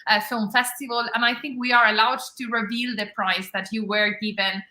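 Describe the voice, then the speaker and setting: A woman's voice: a little high, fairly even intonation, brisk, sharp tone. A woman from southern Spain with a Spanish accent, formally introducing a guest as the chair of an academic event. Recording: roomy, echoing sound.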